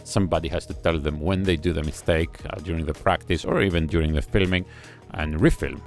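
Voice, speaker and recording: A man giving casual reaction commentary on a music video, analytical and admiring, thinking aloud with pauses. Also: rumbling low. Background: faint music video playing.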